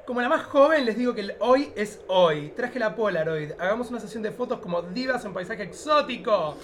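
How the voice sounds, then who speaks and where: A man's voice: high-pitched, lively intonation, brisk. A man playing a vain, carefree young showgirl diva in a campy comic radio play. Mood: gushing, vain. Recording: clearly noisy background.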